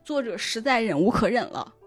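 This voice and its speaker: A woman speaking as a podcast guest in casual chat, explaining things in an animated way with frequent check-in questions. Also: fairly high pitch, fairly quick, faint music behind. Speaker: guest, novel recommender and editor.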